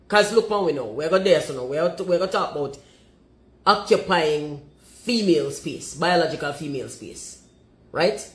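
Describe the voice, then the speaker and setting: A Jamaican woman with a deep voice, talking forthrightly and emphatically and slipping into patois. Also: reverberant. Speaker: commentator.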